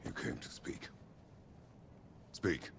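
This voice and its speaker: An actor playing a stern, brooding warrior god and protective father in a video game, speaking tersely and gravely in a gravelly voice. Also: slow, a bit bright, slight background noise. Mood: low menace. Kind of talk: cinematic video game acting.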